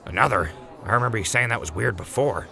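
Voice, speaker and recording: A laid-back, wisecracking wasteland drifter with a low, gravelly voice. The tone is very bright and forward, and he has a wry, joking way of talking. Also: animated, fast, faint music behind, exaggerated voice. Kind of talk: radio drama acting.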